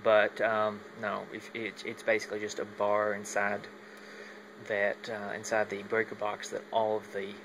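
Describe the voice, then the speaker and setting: A man giving a casual, unscripted hobbyist walkthrough on a phone, halting as he thinks aloud. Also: lowish voice, monotone, noisy background. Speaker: hobbyist demonstrating a home radio antenna setup. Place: at home, moving between indoors and outside. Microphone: handheld phone while filming.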